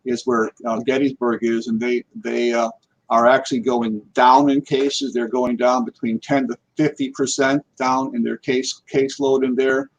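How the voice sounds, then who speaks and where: Man, gravelly creaky voice, mid pitch, casual talk-show guest, rambling, repeats himself. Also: level tone, moderate pace, dull audio, a little hiss or hum. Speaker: guest, paranormal investigator.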